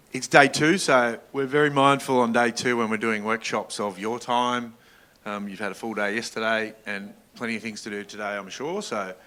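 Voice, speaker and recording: A man's voice: mid-range voice, bright, forceful tone. A middle-aged man giving an informal business workshop presentation, engaging directly with his audience. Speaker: workshop presenter, general manager.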